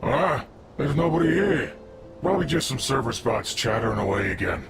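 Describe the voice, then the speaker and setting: A man's voice: mid-range voice, sing-song, moderate pace, background noise. A man playing a brusque guard on patrol in a video game. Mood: dismissive. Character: gruff-mannered male guard on patrol.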